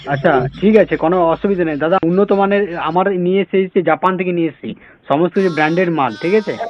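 A man's voice: sits high, fast, slight background noise. A man with a West Bengal accent making a comic prank sales call, insistent and repetitive with feigned innocence. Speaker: prank caller. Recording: muffled, lo-fi audio.

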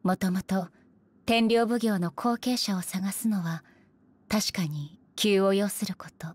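Solemn, commanding ruler goddess. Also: female voice, medium pitch, husky, dry recording. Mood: stern, commanding. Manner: formal, measured, solemn, authoritative. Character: stern, dignified, composed female ruler deity in anime game. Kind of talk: Japanese anime game voice acting.

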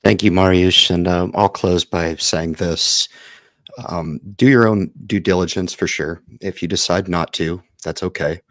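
A man hosting an investing panel over a video call, closing with measured, earnest remarks on a headset mic. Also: low-pitched, steady tone, no room sound. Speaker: host. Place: home office, video call.

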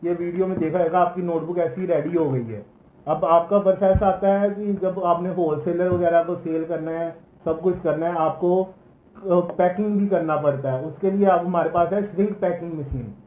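A man's voice: sits high, fairly even intonation, normal speed, echoey room, muffled recording, slight background noise. A man in his thirties from Punjab, India, explaining machines from his office desk in a casual, hesitant way, with many fillers and restarts. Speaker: machinery company salesman. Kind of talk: product explainer video.

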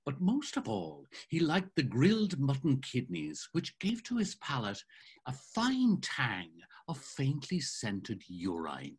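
Brief: older male narrator, lively pitch, slightly husky, expressive literary reading over webcam. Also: slow. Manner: savoring, expressive storytelling. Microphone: video call webcam.

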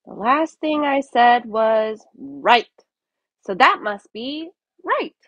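Lady doing a little bunny boy from a picture book, high and perky, kinda clueless, super eager. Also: lively intonation, slow, fairly bright tone, no echo, heavily acted. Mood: pondering. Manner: perky, puzzled questioning. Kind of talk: children's storybook read-aloud.

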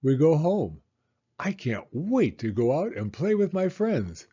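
An older man reads a picture book aloud for young children, his voice gentle and lively, rising and falling with the story. He takes it slowly, with a mellow, slightly husky tone. The recording is a little dull and lo-fi. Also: a little high. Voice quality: gentle, expressive storyteller reading in a slow, sing-song way. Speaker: storytime host, retired-age teacher reading aloud. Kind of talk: children's read-aloud narration.

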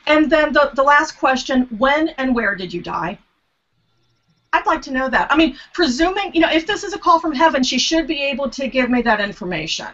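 A woman's voice: high voice, big pitch swings, a little fast, fairly bright tone. A middle-aged American woman chatting casually as a panelist on a web video call from her home study. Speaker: panelist on a genealogy web show. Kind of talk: casual chat. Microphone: webcam video call. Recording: dull, compressed internet call audio.

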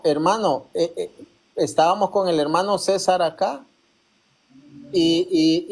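A man's voice: on the high side, low-quality recording. A man hosting an online debate, asking guests direct questions. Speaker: host. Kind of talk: moderating a debate.

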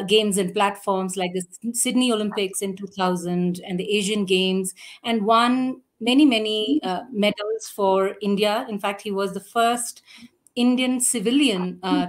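A woman in her forties moderating a video call, reading a formal introduction with many uh and um fillers. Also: medium pitch. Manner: many fillers, uh and um, between phrases. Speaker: event moderator introducing the session. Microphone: laptop mic on a video call.

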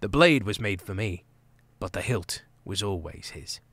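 A man voicing a calm, noble male mouse warrior with a low, steady, solemn delivery. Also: unhurried, dry recording, full character voice.

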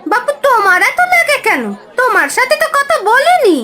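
A woman's voice: very high-pitched, very animated, moderate pace, bright, forceful tone, some chatter behind, full character voice. A woman playing a haughty, mocking village auntie in a folk-tale cartoon.

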